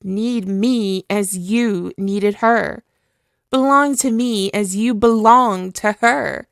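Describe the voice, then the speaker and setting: A woman's voice: medium pitch, no room sound, studio quality. A woman playing a spirit villain possessing a woman's body, obsessive and tormented, in a theatrical, brooding ASMR roleplay monologue. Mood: possessive, pleading. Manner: slow, theatrical, brooding, dwelling on words.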